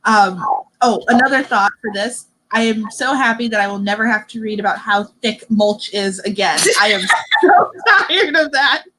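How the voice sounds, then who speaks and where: A woman's voice: mid-range voice, moderate pace, pushed and bright, a little hiss or hum, poor audio. A woman in her early twenties hosting a casual book discussion.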